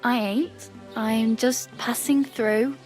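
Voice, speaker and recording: A plucky young wandering beggar woman from a medieval video game. Her voice sits on the high side and her talk is rustic, wry and teasing. Faint music plays underneath. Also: steady tone, takes it slowly, a little mellow. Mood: cheerful. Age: young adult. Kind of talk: video game dialogue acting. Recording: background music under the dialogue.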